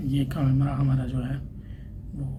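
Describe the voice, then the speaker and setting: A man's voice: mid-range voice, completely flat delivery, a little slow. A man giving a plain, unscripted, step-by-step hands-on tech tutorial as an installer. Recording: clearly noisy room ambience.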